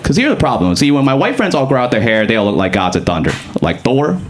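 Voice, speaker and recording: A young man from Boston with a deep voice, doing a casual stand-up comedy set with lots of fillers. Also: very animated, fast talker. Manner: casual, conversational delivery with frequent fillers. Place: comedy club stage. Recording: comedy club with clear audience noise.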